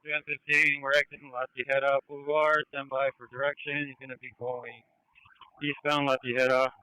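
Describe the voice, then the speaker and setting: A man's voice: mid-range voice, little pitch movement, brisk, pushed and bright, clear, resonant tone, dry recording. A man, a police officer in a pursuit, giving terse, procedural location call-outs over the radio. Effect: police radio transmission. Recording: tinny, phone-like police radio.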